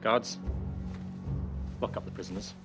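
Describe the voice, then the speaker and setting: An actor playing an arrogant, cruel Roman emperor's son in a video game, haughty and sneering, with music behind. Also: male voice, unhurried, lightly acted. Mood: smug, commanding. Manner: haughty, sneering, dramatic delivery.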